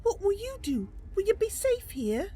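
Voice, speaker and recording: A woman's voice: very high voice, sing-song, normal speed, dark tone, voice pushed up. A woman voicing a timid, earnest young female wood elf who wants to be a knight, in a hesitant, polite roleplay game voice. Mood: hesitant, curious.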